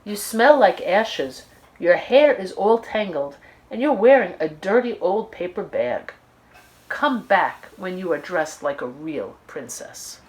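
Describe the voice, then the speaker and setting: A woman's voice: lowish voice, sing-song, takes it slowly, darkish tone, poor audio. A woman voicing a snooty young prince in a children's storybook, fussy and disapproving. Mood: scornful. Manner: haughty, disapproving. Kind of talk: children's storybook read-aloud.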